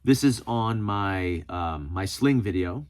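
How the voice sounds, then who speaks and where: A man's voice: lowish voice, animated, takes it slowly, clean tone. A man hosting a casual solo vlog, talking informally with self-deprecating humor as he reads and answers comments. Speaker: host of a preparedness video channel.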